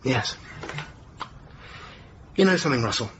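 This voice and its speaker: An actor playing a weary, introspective Prime Minister, confiding reflectively in a radio drama. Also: male voice, medium pitch, lively intonation, unhurried, slight background noise. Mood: weary, wistful. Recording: dull sound with loud guitar music.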